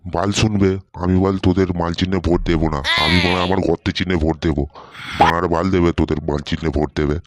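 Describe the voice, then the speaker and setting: A man's voice: sits low, flat delivery, fast talker, lightly acted. A man voicing a foul-mouthed, irritable old village grandpa in a comedy cartoon, scolding stubbornly. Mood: angry refusal. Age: older. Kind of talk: Bengali comedy cartoon voice acting. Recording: noisy audio with clicks.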